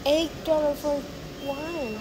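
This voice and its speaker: A woman's voice: sits high, little pitch movement, slow. A young woman whose first language is Indonesian, chatting casually while shopping and in a car, mixing Indonesian words into her English. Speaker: vlogger. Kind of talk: casual travel vlog chat. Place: supermarket, car park and car. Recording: loud background noise.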